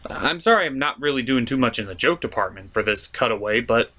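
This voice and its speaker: A man's voice: mid-range voice, lively intonation, fairly quick, pushed and bright. A man hosting an informal, opinionated comedic review, giving scripted commentary on a cartoon with exaggerated emphasis. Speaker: host of a cartoon review show.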